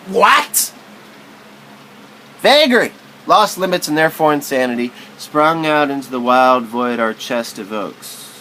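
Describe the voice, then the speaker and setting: A man giving a literary spoken-word recitation in a theatrical, halting, wordy way, stumbling and repeating himself. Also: mid-range voice, a bit bright, a little hiss or hum, poor audio.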